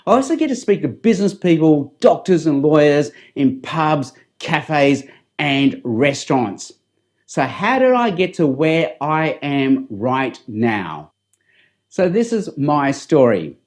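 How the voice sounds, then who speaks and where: A middle-aged man with an Australian accent giving a personal testimony as a public speaker, in a deliberate, earnest way. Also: on the high side, big pitch swings. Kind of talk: testimony addressed to camera.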